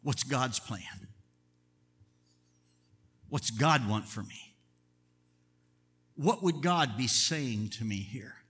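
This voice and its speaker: A middle-aged man, a pastor, preaching a sermon in an earnest, measured way, slowing down to stress key words. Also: mid-range voice, lively intonation, bright, forceful tone, breathy edge. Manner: earnest, measured preaching with deliberate slow emphasis. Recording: long reverb of a large hall.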